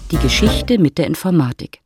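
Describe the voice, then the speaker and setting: An actor as the narrator of a literary love story, speaking in a dry, matter-of-fact manner. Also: male voice, a little high, animated, moderate pace, loud music behind the voice, natural voice.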